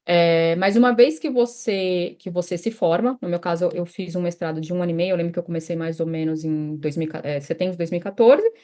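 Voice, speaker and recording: A woman's voice: lowish voice, a little fast, hardly any pauses, dark tone. A woman from Brazil in her thirties, a guest in a casual interview, talking chattily with many restarts and fillers. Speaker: guest, immigration consultant.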